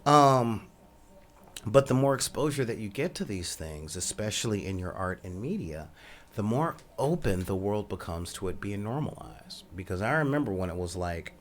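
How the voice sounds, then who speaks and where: Soft-spoken rambling man, low voice. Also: very animated, moderate pace, a little hiss or hum. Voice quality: says he has a high voice. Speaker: podcast host. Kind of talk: casual monologue.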